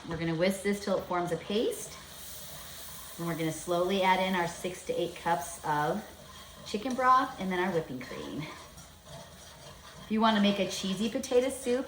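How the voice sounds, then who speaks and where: A woman's voice: fairly low pitch, roomy sound, noisy background. A woman in her fifties giving a chatty, step-by-step home cooking demonstration in her kitchen. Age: 50s.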